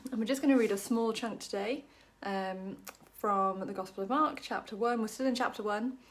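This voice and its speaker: A woman from Wales talks through a short Bible passage in a relaxed, chatty way. She fills her sentences with ums and drops in small jokes about herself. Her voice sits at an ordinary middle pitch. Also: normal speed. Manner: chatty, many ums, self-deprecating jokes. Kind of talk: casual Bible study talk to camera.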